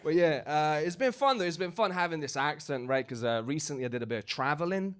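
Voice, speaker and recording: Lively Glaswegian-accented comedian. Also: male voice, on the high side, quick pace, clear, resonant tone. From Romania. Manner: punchy, swings between voices. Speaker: stand-up comedian. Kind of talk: stand-up set. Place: basement comedy club.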